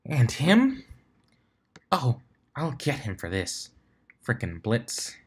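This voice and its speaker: A man's voice: mid-range voice, huge pitch swings, takes it slowly, slightly rough, full character voice. Guy doing an angry sulky pony girl, bitter muttering, storybook character. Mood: bitter, vengeful. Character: bitter, jealous, hot-tempered young female pegasus.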